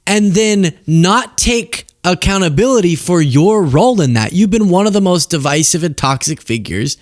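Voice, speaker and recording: Animated-sounding sarcastic American man, higher-pitched voice. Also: moderate pace, no echo, studio quality, soft background music. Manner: sarcastic, incredulous, conversational. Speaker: political commentary show host. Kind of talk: casual reaction commentary on news clips.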